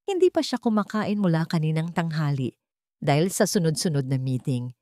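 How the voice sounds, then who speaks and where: A woman narrating a romantic drama in a calm, measured storytelling manner. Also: low voice, dry recording, clean studio sound.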